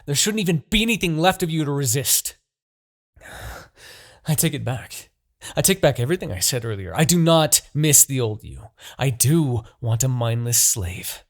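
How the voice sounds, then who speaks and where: A man's voice: medium pitch, animated, normal speed, natural voice. Man voicing an imperious demon king, wildly swooping delivery. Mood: exasperated. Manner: theatrical, brooding, swinging between murmurs and outbursts. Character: imperious, obsessive male demon king, easily exasperated villain. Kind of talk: dramatic fantasy audio roleplay.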